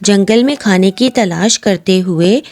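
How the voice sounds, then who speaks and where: A woman's voice: medium pitch, a little slow, darkish tone. A woman reading scripted storybook narration as the narrator, with music behind her.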